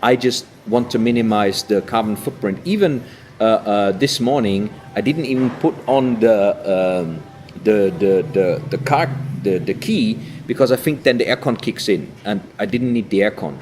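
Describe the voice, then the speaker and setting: German man with a low voice. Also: moderate pace, darkish tone, a little hiss or hum. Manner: rambling, with frequent fillers and restarts. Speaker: interviewee, inspirational speaker. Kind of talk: conversational interview.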